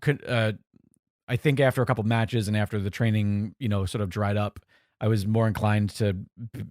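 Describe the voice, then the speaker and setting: A man's voice: on the low side, fairly even intonation, moderate pace, a little mellow, no echo, studio quality. A man in his forties, possibly, co-hosting a podcast in casual chat, rambling and self-correcting with a joking tone. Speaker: podcast co-host.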